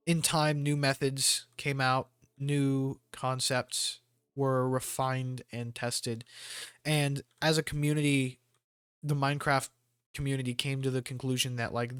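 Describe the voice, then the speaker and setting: A man's voice: mid-range voice, fairly bright tone, clean tone, no echo, studio quality. A man giving casual, rambling gameplay commentary with jokey slang. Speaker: gamer hosting a let's play.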